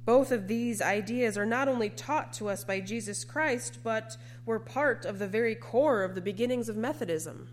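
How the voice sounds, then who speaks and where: A woman preacher delivering a scripted sermon in an earnest, measured way, often posing rhetorical questions. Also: a little high, slight background noise.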